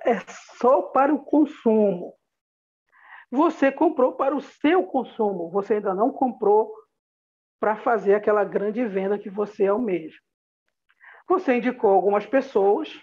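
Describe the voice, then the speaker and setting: A woman's voice: a little high, husky. A woman with a Brazilian accent gives an upbeat, motivational business presentation over a video call, talking straight to her listeners. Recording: dull lo-fi video call audio.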